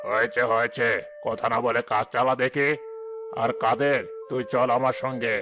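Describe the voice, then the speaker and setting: A man's voice: medium pitch, flat delivery, normal speed, natural voice. A man playing a stingy, bossy village boss in a cartoon, with a domineering, dismissive manner. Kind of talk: Bangla cartoon story dialogue.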